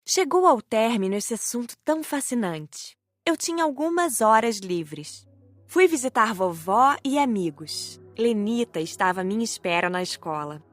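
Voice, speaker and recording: A woman's voice: fairly high pitch, lively intonation, moderate pace, no echo. Woman narrating an audiobook over music. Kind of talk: scripted first-person narration.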